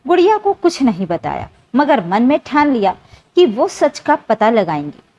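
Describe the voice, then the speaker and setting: A woman's voice: fairly high pitch, sing-song, normal speed, warm tone. A woman narrating a scripted story in an emotive, dramatic way. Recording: dull, lo-fi sound.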